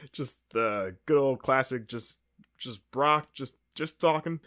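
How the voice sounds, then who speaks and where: A man's voice: mid-range voice, slow pace, fairly bright tone. Male podcast host with a cold, congested and wry, chatty solo recap. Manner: rambling, wry and sarcastic. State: congested, with sniffles. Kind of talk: casual wrestling recap.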